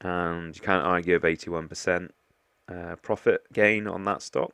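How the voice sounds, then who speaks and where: A man hosts a casual investing vlog, his deep voice thick and stuffy from a heavy cold. He pauses often, restarting words and filling gaps with ums. The sound is dry and close, with no room around it. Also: fairly even intonation, fairly bright tone, clean tone, no echo. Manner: hesitant, many ums and restarts. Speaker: investing vlogger. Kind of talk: casual portfolio walkthrough.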